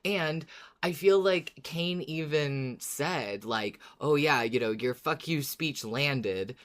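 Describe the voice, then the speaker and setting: A podcast co-host in casual, reflective chat, agreeable and hesitant, feeling out each thought in halting phrases. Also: medium pitch. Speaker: podcast co-host discussing a fiction series.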